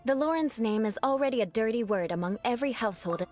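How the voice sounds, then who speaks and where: A woman's voice: a little high, lively intonation, quick pace, soft background music, close to an everyday voice. A woman playing a proud young noblewoman knight with grandiose, formal aristocratic speech in an anime video game. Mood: resigned.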